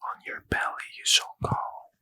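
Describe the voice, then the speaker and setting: A man reading a bedtime scripture story in ASMR style, in a soft unvoiced whisper. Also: unhurried. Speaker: ASMR reader.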